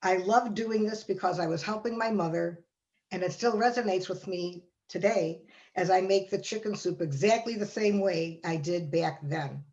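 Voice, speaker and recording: A woman's voice: on the low side, normal speed, darkish tone, muffled recording. A woman reading a prepared personal reminiscence aloud. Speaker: participant sharing a family memory.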